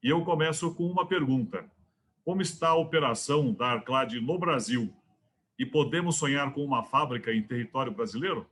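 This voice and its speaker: A man's voice: medium pitch, lively intonation, a bit bright. A man hosting as association president, formally introducing a guest and asking questions. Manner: formal, measured. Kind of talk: scripted intro then interview questions.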